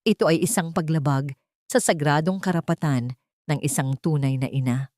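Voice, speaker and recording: A woman's voice: sits low, normal speed, darkish tone, no echo, close to an everyday voice. A woman performs an aggressive male lawyer in an audio drama. He orates pompously to the court and accuses with force, in a dry, close recording. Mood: accusing. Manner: oratorical, accusing. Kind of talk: Tagalog audio drama acting.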